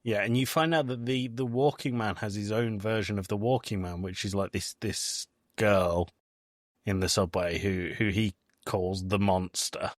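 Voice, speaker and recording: A man's voice: on the low side, fairly even intonation, moderate pace, no room sound, studio quality. A man hosting a podcast, recapping a film in casual discussion and often repeating words as he thinks. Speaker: podcast host.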